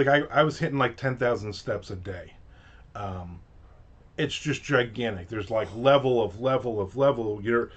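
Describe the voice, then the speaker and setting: Middle-aged New Jersey man, casual chatty author livestream, home office, slightly muffled webcam sound. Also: mid-range voice. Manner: rambling, chatty, lots of fillers and restarts. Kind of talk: casual chat. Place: home office with shelves of collectibles.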